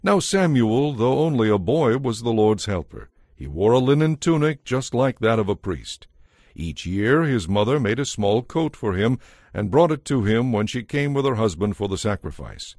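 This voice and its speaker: A man narrating a dramatized audio Bible as a scripted story, measured and solemn. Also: mid-range voice, sing-song, darkish tone, dry recording, clean studio sound.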